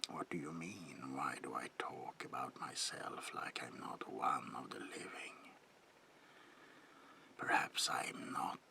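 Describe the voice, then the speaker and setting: An ancient vampire baron in a horror ASMR roleplay, whispering very slowly and theatrically. The voice is extremely low, with heavy gravelly fry, and his old-world politeness carries quiet menace. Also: heavily acted. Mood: ominous, musing. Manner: slow, theatrical, lingering whisper with archaic politeness. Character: creepy old male vampire baron, courteous, eerie, menacing.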